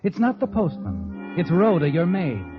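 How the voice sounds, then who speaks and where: An actor playing a sardonic, knowing narrator in 1940s radio suspense, insinuating and theatrical. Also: male voice, a little high, huge pitch swings, moderate pace. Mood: knowing, suspenseful. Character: sardonic, knowing male narrator addressing the heroine. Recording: dull vintage radio recording. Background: dramatic music.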